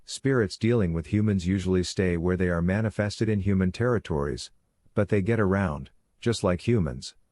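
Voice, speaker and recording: A man voicing a calm, authoritative werewolf pack leader who lectures in a measured, instructive way for a fantasy audiobook. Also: deep voice, dry recording, studio quality, voice pushed down. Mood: solemn instructive.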